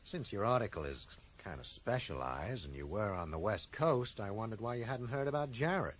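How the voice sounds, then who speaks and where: Low-voiced, persistent male investigator. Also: animated, normal speed. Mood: pressing. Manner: probing, apologetic yet insistent. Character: persistent, polite male investigator probing a death. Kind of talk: radio drama acting. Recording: noisy 1980s radio drama broadcast.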